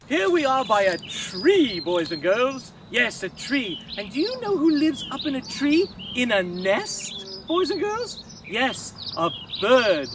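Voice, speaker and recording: A man playing a fussy, theatrical children's storytime host who addresses children in an exclaiming, sing-song way, with a husky voice. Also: very high-pitched, sing-song, slow, hardly any pauses, far from the mic, noisy background, exaggerated voice. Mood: excitable, flustered. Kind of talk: hammy comedic children's read-aloud.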